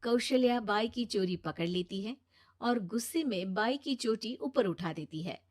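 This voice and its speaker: A woman's voice: medium pitch, expressive, measured pace. A woman reading scripted narration for a cartoon bedtime story.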